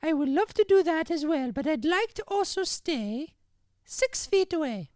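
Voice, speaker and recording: High-voiced, coy Irish girl, played by a woman. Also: very animated, moderate pace, darkish tone, no echo, clean studio sound. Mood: coy, confident. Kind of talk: improvised old-time radio comedy play.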